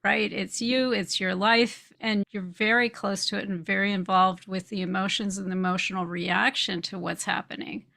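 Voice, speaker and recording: Bright, slightly creaky woman interview host. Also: medium pitch, fairly even intonation, moderate pace, hardly any pauses. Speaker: podcast host. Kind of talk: conversational interview.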